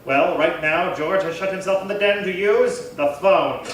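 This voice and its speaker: A man's voice: high voice, moderate pace, close to an everyday voice. A man playing a 1950s radio comedy announcer, reading scripted narration from afar in an echoey hall. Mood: brisk recap. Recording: echoey hall, distant mic, faint noise.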